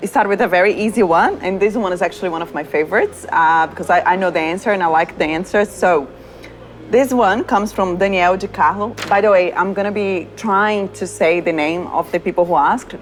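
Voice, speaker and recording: A woman's voice: fairly low pitch, a little fast, slight background noise. A woman with a Brazilian accent, a product manager cheerfully reading viewer questions to a colleague in a casual chat, laughing often. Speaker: product manager hosting a community Q&A.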